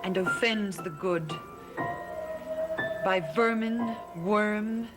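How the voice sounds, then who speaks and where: A woman's voice: medium pitch, drawn-out words. An actress playing a jealous, vengeful young woman in a 1970s TV soap opera, brooding and intense. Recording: music score behind voice.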